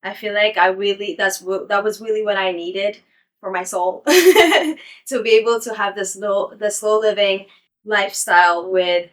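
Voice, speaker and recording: A young woman with a middle-pitched voice speaks in a relaxed, casual way, filling pauses with ums and light laughs. She is being interviewed while walking around her building, and the mic sometimes sits farther from her. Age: young adult. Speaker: interviewee, English teacher. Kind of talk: casual interview and home tour.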